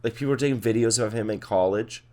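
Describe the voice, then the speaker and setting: A man's voice: lowish voice, fast, mellow. A man in his twenties co-hosting a podcast, talking loosely and casually, with lots of swearing and trailing fillers. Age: 20s. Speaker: podcast co-host. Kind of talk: casual chat.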